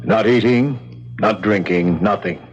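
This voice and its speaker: Casting: man for doubtful male underling guard in radio play, clipped dry delivery, lowish pitch, muffled vintage sound. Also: unhurried, over music, close to an everyday voice. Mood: grim, doubtful. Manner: terse, dry. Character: dry, skeptical male subordinate prison guard. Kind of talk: old-time radio drama acting. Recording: dull old radio recording.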